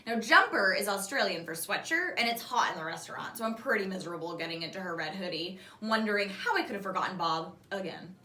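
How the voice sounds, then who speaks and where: A woman reading a children's novel aloud like a teacher, expressive and animated, acting out the dialogue. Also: mid-range voice, quick pace, hardly any pauses. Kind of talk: expressive storybook read-aloud. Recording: roomy echo, distant mic.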